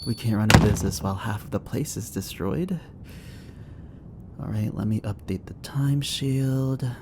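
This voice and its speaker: A man's voice: lowish voice, normal speed, very noisy, close to an everyday voice. A young man acting a shy, flustered barista who is secretly an agent in an ASMR roleplay drama, hesitant, stammering and apologetic. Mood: apologetic, nervous. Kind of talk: ASMR roleplay, acted dialogue spoken to the listener.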